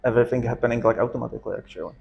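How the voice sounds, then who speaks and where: A man from the Czech Republic with a Czech accent, speaking English as a second language in halting, hesitant phrases during an interview. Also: mid-range voice, gravelly, highs cut off, slight background noise. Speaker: interview guest. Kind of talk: casual interview.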